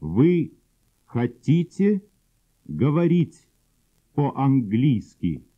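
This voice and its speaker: Male drill voice, painfully slow and clear, lo-fi phone-like cassette. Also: mid-range voice, big pitch swings. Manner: very slow and deliberate, word by word. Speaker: language instructor. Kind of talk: slow drill sentences for repetition. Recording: husky, phone-like old cassette audio.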